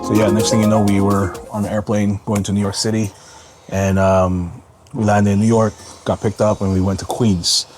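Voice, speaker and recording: A man in his thirties from Indonesia, telling his personal story in a relaxed, rambling vlog with many ums and restarts. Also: on the low side, normal speed, over music. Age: 30s. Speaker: vlogger.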